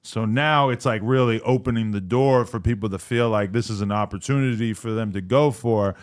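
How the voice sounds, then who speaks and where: A man's voice: on the low side, a little fast. Podcast host, male, rambling studio chat with casual swearing. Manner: rambling, conversational, swears freely. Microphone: studio mic on stand.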